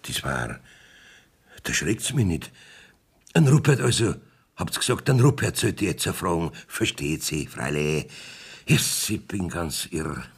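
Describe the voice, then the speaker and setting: An actor playing a blustering, shifty village judge in a Bavarian dialect radio comedy, gruff and evasive, swinging from wheedling to barking, with a gravelly voice. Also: male voice, sits low, big pitch swings, a little slow, long pauses, full character voice. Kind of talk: German dialect radio play acting.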